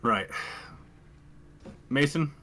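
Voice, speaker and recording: A man's voice: mid-range voice, expressive, very slow, bright, forceful tone. A man playing a tabletop roleplaying game, speaking in character and describing actions in a terse, dry way.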